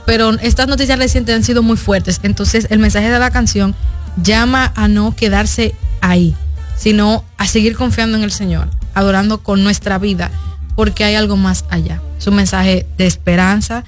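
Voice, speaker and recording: A woman's voice: medium pitch, fairly even intonation, moderate pace, faint music behind. A woman in her twenties with a Dominican Spanish accent, speaking earnestly and reflectively as an interview guest, hesitating between phrases. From Dominican Republic. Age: 20s. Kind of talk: conversational radio interview.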